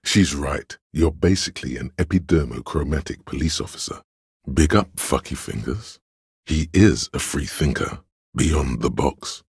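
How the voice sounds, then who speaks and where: A man voicing a detective's pretentious, arty inner voice for a video game, theatrical and deliberate, with a gravelly, husky tone. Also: a bass voice, dry recording, clean studio sound, heavily acted. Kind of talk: video game narration, second-person commentary.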